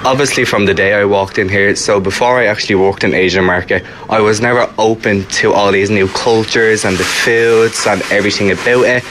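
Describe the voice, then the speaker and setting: Young Dublin man, low voice. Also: steady tone, a little fast, few pauses, fairly bright tone, slight background noise. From Ireland. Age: 20s. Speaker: shop worker. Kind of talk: interview answers.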